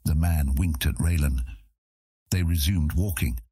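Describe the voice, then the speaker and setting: Casting: male storyteller for fantasy audiobook, rumbling bass with a rough husk, close dry studio. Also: unhurried, warm tone, dry recording. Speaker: audiobook narrator. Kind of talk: scripted fantasy narration.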